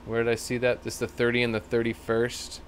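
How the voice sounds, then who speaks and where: A man with a slightly rough, tired voice, chatting loosely as he hosts a live stream. His voice sits at a middling pitch, with a faint hiss of background noise under it. He rambles easily and thanks viewers one by one. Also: monotone, fairly quick. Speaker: livestream host. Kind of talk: casual live-stream chat reading comments.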